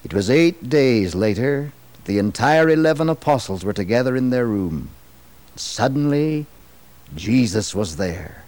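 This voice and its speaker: A man narrating a dramatized 1960s religious radio program in a solemn, measured, theatrical storytelling manner. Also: medium pitch, animated, darkish tone.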